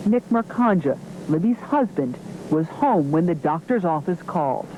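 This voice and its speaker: A woman's voice: on the low side, lively intonation, moderate pace, warm tone, a little hiss or hum, rough recording. A woman reporter reading scripted narration for a television news report.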